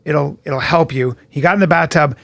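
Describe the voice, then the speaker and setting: A man in his fifties, a podcast co-host telling stories in animated casual chat. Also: on the high side, normal speed, gravelly. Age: 50s. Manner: animated storyteller, slips into voices.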